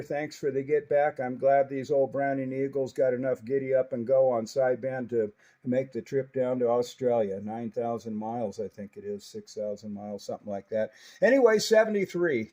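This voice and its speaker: A man's voice: mid-range voice, warm tone. An older American man talking casually as a CB radio operator, folksy and chatty, with plenty of radio jargon. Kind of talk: casual radio check-in chat using CB jargon. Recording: dull, lo-fi audio.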